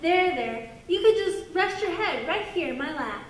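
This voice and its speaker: Very high, cooing puppet wife. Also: female voice, expressive, slight background noise. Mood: soothing, coaxing. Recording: echoey room, off mic.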